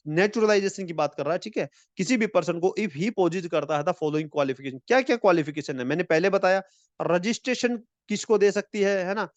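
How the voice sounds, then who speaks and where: A man teaching an exam-prep lecture in a friendly way. He mixes in English and reads the English lines slowly. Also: fairly high pitch, no room sound, lo-fi sound. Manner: friendly, calls viewers friends.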